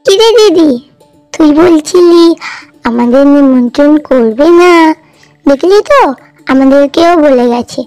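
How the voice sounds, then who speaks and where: A woman's voice: high voice, expressive, unhurried, long pauses, a little mellow, faint music behind. A woman voicing an eager, hopeful poor little village girl in a cartoon. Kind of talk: cartoon voice acting.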